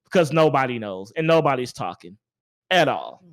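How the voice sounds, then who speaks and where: A man hosting a casual gaming podcast, talking in an animated, rambling way and repeating phrases for emphasis. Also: mid-range voice, moderate pace. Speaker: podcast host.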